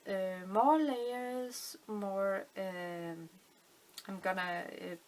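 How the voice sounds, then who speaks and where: A middle-aged woman from Denmark with a Danish accent, calmly explaining a fabric-flower craft at her table, thinking aloud with many fillers. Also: mid-range voice, drawn-out words, slight background noise. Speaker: crafting channel host. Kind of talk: casual craft tutorial. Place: indoors at a table, hands on camera.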